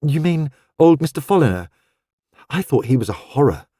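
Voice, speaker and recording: A man voicing a sensible, orderly young woman artist who speaks in a polite, brisk and frank way. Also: on the high side, big pitch swings, dark tone, breathy edge, no room sound, clean studio sound. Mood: friendly.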